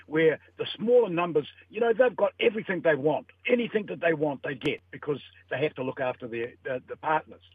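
A man's voice: a little high, slightly rough, no room sound. Blunt older New man on a phone line. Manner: blunt and emphatic. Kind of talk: opinionated radio chat. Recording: tinny dry phone line.

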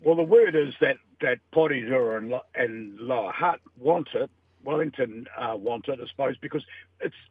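Older New man, blunt phone-in voice, husky, thin line. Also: mid-range voice, moderate pace, dry recording. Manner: blunt and emphatic. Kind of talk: opinionated radio chat. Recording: tinny dry phone line.

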